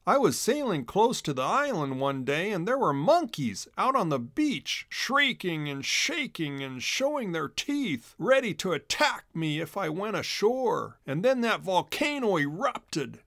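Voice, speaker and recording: Man voicing a high-pitched, dramatic storybook rat. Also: sing-song, a little slow, creaky, few pauses, fairly bright tone, dry recording, studio quality, heavily acted. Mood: alarmed warning. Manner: dramatic, warning. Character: injured rat sailor, dramatic, fearful storybook animal.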